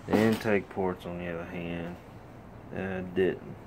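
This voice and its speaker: A man's voice: lowish voice, very animated, measured pace, vocal fry, darkish tone, a little hiss or hum, low-quality recording. A middle-aged man filming his own engine work with a phone, talking through each step in a plainspoken, rambling way with lots of fillers. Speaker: home mechanic filming a rebuild. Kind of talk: casual how-to commentary. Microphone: handheld phone camera.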